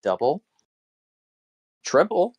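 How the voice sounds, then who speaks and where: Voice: medium pitch, sing-song, very slow, a little mellow, husky, no echo, highs cut off. A co-commentator following a card game live in a casual way, often in short, clipped interjections. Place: apartment.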